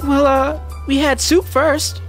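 A woman's voice: high-pitched, little pitch movement, takes it slowly, soft background music. A woman acting a fretful, evasive wife in an amateur radio mystery drama. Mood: flustered, evasive. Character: fretful, evasive wife hosting a dinner party.

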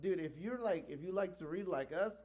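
Slangy, higher-pitched man in his thirties. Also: lively intonation, fairly quick, a loud crowd. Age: early 30s. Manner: profane, slangy. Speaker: podcast host. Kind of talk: casual podcast chat. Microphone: close podcast microphone with headphones.

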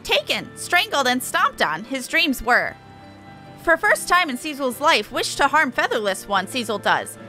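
Woman as a broody game bird creature, squawky, inverted phrasing, swooping bright delivery. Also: high voice, big pitch swings, a little slow, faint music behind, full character voice. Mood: indignant. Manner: squawking, speaks in inverted sentences. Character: gruff, broody birdlike beast-tribe creature with inverted grammar.

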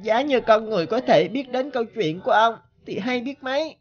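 An actress playing a small young earthworm, curious and sympathetic, in a cartoon. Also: female voice, a little high, expressive, brisk, highs cut off, natural voice. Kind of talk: scripted cartoon dialogue.

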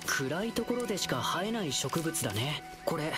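Boyish young hero over loud music. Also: female voice, low voice, sing-song, moderate pace. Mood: cheerful, curious. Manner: boyish, earnest. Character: spirited young lion-dancer boy hero, protective and bold. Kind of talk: Japanese video game voice acting.

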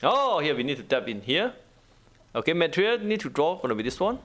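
A man who is not a native English speaker, giving a casual gameplay walkthrough in halting, repetitive short phrases. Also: on the high side, very animated, a bit bright. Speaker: gaming guide host.

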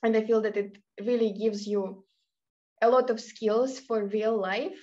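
A woman's voice: on the high side, fairly even intonation, measured pace, mellow, muffled recording. A woman from Russia, a student speaking enthusiastically and reflectively on a remote panel over a video call. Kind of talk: casual remote panel answer.

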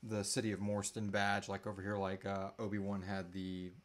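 A man in his thirties hosting a casual live question-and-answer chat, often halting and trailing off mid-thought. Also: low-pitched, completely flat delivery. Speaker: host answering audience questions. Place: small indoor room.

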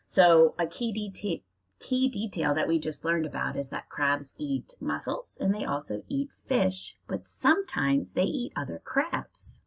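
Casting: American woman, primary teacher doing a children's read-aloud, unhurried, with swooping intonation and some fry. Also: lowish voice. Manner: slow, deliberate, expressive reading for young children. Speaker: elementary school teacher reading aloud to pupils.

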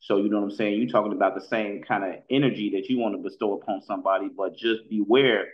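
Slightly muffled call audio carries a man's lowish voice. He comments casually on street news in slang, circles back over his phrases and checks that he is understood. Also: fairly even intonation, fast talker, reverberant. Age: middle-aged. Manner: slangy, repetitive, checks in with listener. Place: indoor room, video call.

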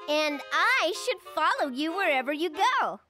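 A woman's voice: high voice, sing-song, a little slow, pushed and bright, full character voice. A woman voicing an eager, earnest young girl bunny in a children's cartoon, a helpful best friend with a dramatic streak. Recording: music behind the voice.

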